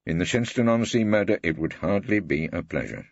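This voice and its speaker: A man playing a witty, weary old English barrister who narrates in a wry, pompous, husky voice. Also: low voice, animated, a little fast, dry recording, highs cut off, full character voice. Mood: wry, aggrieved. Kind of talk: scripted first-person audiobook narration.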